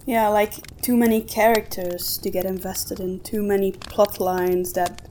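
A woman's voice: medium pitch, flat delivery, unhurried, a little mellow, a little hiss or hum. A woman co-hosting a podcast, talking casually and giving emphatic opinions. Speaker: podcast co-host. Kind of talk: casual discussion of storytelling.

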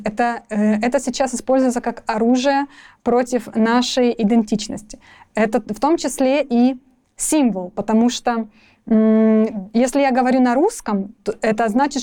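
A woman's voice: a little high, dark tone. A young woman from Ukraine, a guest in a conversational interview, speaking thoughtfully with frequent restarts and hesitations.

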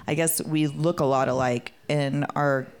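Deep-ish flat-voiced woman, tipsy, giggly and frank on a podcast. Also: a little slow, clear, resonant tone. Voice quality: monotone. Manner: frank, giggly, loses her words. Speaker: podcast co-host. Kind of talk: casual chat.

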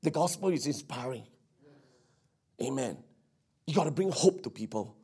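A middle-aged man with a Singaporean English accent, preaching and praying fervently, his voice hoarse from preaching. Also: mid-range voice, lively intonation, moderate pace, mellow. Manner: fervent, repetitive, peppered with "Amen". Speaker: pastor. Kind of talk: sermon with prayer. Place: church service.

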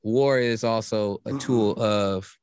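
A man with a lowish voice talks in loose, rambling podcast chat, stopping and restarting his sentences often. The sound is dry and close, with no room around it. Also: darkish tone, clear, resonant tone, no echo. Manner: rambling, halting, many restarts.

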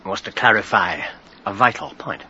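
A man playing an assured, methodical expert in a radio drama, speaking precisely and with measured confidence. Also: lowish voice, expressive, vocal fry, pushed and bright, full character voice. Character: assured, methodical male expert explaining his deductions. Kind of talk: British period radio drama acting. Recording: dull radio recording, faint noise.

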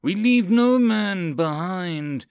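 A man voicing a stubborn, hot-headed male lieutenant in a military fantasy audiobook, shouting orders and accusations in a shrill, panicky way. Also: big pitch swings, fairly quick, no room sound, studio quality. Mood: defiant yelling.

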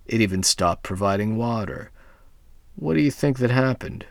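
A man voicing an old grandmother, gruff but kindly, talking softly and low. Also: expressive, moderate pace, no room sound. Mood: coaxing.

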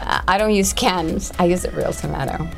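A woman from Portugal and a native Portuguese speaker, chatting casually in an interview. She is animated, repeats her words and laughs often. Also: lowish voice, a little fast, gravelly, soft background music, poor audio. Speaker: guest singer. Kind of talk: casual chat.

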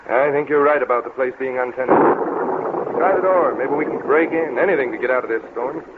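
An actor playing a wry, decisive gentleman traveller in a 1930s radio drama, speaking formally. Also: mid-range voice, brisk, natural voice. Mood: urgent, polite. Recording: very noisy, tinny 1930s radio recording.

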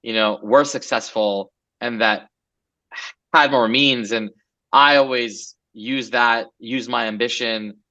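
A man's voice: mid-range voice, fairly even intonation, slow pace, sharp tone. A man in his forties from Los Angeles, a guest entrepreneur chatting casually in an interview, loose and rambling with many fillers.

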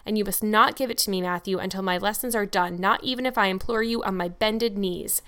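A woman's voice: mid-range voice, fairly quick, bright, forceful tone, natural voice. A woman voicing a talkative, imaginative young girl with an earnest, grandly worded way of speaking in an audiobook. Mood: chatty, earnest. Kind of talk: audiobook character dialogue.

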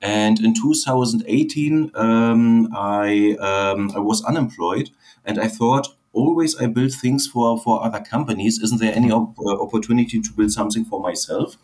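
A man's voice: on the low side, normal speed, darkish tone. A man from Germany with a German accent, whose first language is German, telling his story as a guest in earnest, plain speech that often repeats phrases. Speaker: guest, online seller. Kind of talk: casual interview answers.

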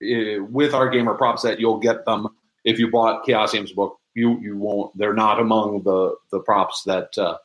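Low-voiced man explaining in casual interview. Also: little pitch movement, unhurried, a bit bright, rough recording. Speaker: interview guest, prop and audio drama maker.

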